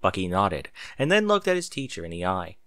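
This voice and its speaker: A man reading a story aloud as narrator, in an expressive style with candid asides. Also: sits low, brisk, no room sound, studio quality. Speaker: fan fiction narrator.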